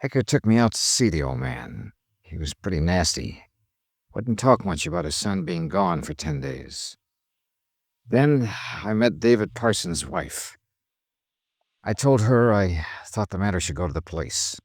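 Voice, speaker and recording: An actor playing a world-weary insurance investigator in a 1950s radio detective drama, grim and clipped with brooding pauses. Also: male voice, low voice, sing-song, dry recording, studio quality, full character voice. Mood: shaken, wistful. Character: world-weary male insurance investigator, grimly narrating his case. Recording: vintage radio drama with music underneath.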